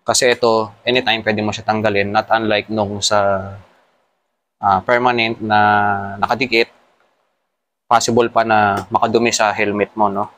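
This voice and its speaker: Low-pitched Filipino man explaining casually. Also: flat delivery, normal speed, long pauses, fairly bright tone. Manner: explanatory, mixing Tagalog with English terms. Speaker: motovlogger. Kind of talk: casual hands-on tutorial.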